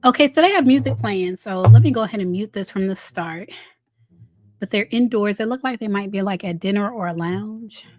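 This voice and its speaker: A woman hosting a casual celebrity gossip commentary, chatty and candid, with drawn-out emphasis and a slightly raspy voice worn from a recent illness. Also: mid-range voice, a little mellow, faint music behind. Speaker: host of a celebrity gossip channel.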